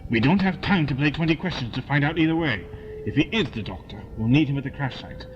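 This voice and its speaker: A man's voice: mid-range voice, lively intonation, fast, noisy background, close to an everyday voice. A man playing a stern, suspicious army colonel in an audio drama, clipped and commanding. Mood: brisk, commanding. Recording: dull lo-fi with loud music behind.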